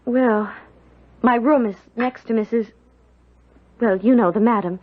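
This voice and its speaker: Timid young girl, high-pitched. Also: a little slow. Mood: timid, curious. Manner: earnest, hesitant, polite. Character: timid, curious young country girl recalling her story. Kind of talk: 1970s radio drama acting, first-person narration and dialogue. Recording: dull radio recording with music.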